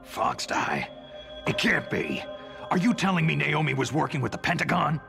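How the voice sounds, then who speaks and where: An actor playing a gruff, suspicious, hard-bitten soldier hero with a gravelly voice in a dramatic video game cutscene. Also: male voice, on the high side, wildly swooping pitch, moderate pace, exaggerated voice. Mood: shocked. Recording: loud game music over the voice.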